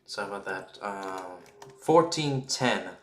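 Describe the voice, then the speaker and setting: A man calling live American football play-by-play in an excitable radio style, mixing big calls with casual asides. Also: fairly low pitch, unhurried. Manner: excitable, calls surging plays loudly then drops back to casual asides. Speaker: play-by-play sports commentator. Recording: roomy echo on the voice.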